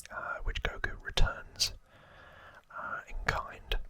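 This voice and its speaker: A man speaking in a breathy, gentle whisper, hosting an ASMR trivia explainer close to the microphone. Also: no echo. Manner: slow, gentle, hushed delivery. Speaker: ASMR video creator and host.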